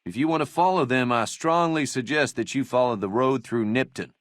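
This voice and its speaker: A man's voice: mid-range voice, fairly quick, no echo, studio quality, natural voice. An actor playing a meek, self-important small-town deputy in a video game, fussy, wordy and mannered in his politeness. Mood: eager, fussy. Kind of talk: scripted game dialogue acting.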